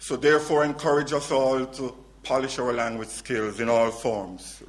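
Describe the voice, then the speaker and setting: A man's voice: medium pitch, steady tone, moderate pace, poor audio. A man with a Jamaican accent making earnest, formal remarks at a public event. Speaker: college president.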